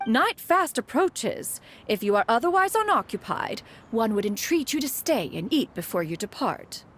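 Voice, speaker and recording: A woman's voice: fairly high pitch, sing-song, moderate pace, a bit bright, soft background music. A woman voicing an ancient, aloof female crane spirit who speaks formally and calls herself "one", in a video game. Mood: poised. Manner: archaic formal speech, refers to herself as "one".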